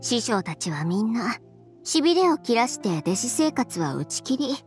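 A blunt, independent young woman speaks in a high, composed voice. Her phrasing is cool and matter-of-fact, in anime game dub style, with faint music underneath. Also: sing-song, moderate pace, darkish tone. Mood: reflective. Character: self-assured, blunt young female fashion designer, independent. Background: faint background music.

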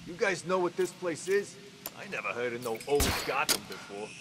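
A man's voice: fairly high pitch, big pitch swings, moderate pace, heavy background noise. An actor playing an uneasy, defensive male thug with slangy talk in a video game. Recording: long hall reverb.

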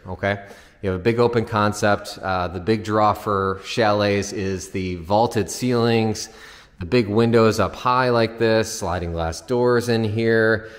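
A man giving a casual, unscripted, explanatory house tour as a home builder's representative. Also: lowish voice. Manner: informal, explanatory. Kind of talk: casual unscripted walkthrough narration. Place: empty new house, indoors. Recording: echoey empty house interior, long reverb.

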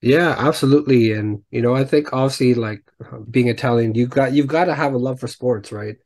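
A young man hosting a podcast interview from home on a headset, speaking haltingly with many restarts. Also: medium pitch, a little mellow. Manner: hesitant, many fillers and restarts. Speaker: podcast host. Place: home room, indoors. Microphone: headset on video call.

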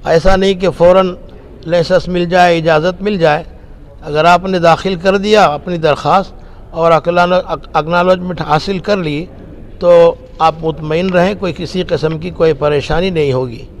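A man, a cleric giving a formal statement in measured, halting phrases. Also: a little high, steady tone. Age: 60s. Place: indoor meeting room with others seated. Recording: faint room noise behind the voice.

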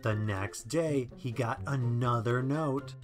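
A woman's voice: very deep voice, slow pace, faint music behind. A woman narrating a children's story expressively as a scripted read-aloud.

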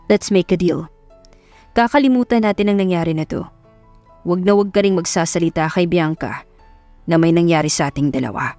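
Casting: rich, controlling Filipino male lead read by a woman, slow and measured, audio romance over faint music. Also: fairly low pitch, a little mellow, no room sound, lightly acted. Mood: cool, commanding. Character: rich, commanding young man, baritone lover.

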